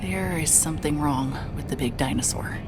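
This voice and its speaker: Music runs behind an actress playing a worn-out woman private eye with a low voice. She is hard-hearted and short on sleep, and she talks in halting, weary phrases, as in a noir audio drama. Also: natural voice. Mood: uneasy, weary. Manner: weary, halting, stammering under stress. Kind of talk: noir-like first-person audio drama narration.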